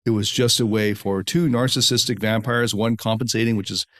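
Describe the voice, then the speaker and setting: A man hosting a podcast alone, recovering from a head cold that lowers his voice, talking in an earnest, preachy way in long run-on lists, in an echoey room while traveling. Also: steady tone, brisk, a bit bright. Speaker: podcast host. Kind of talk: solo podcast monologue.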